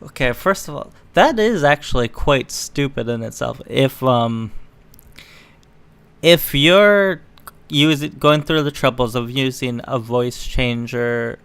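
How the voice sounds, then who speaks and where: A man's voice: medium pitch, slow, fairly bright tone, smooth, no room sound. A man reading news articles aloud and adding casual, sarcastic, opinionated commentary as an online political commentator. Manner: sarcastic, mocking.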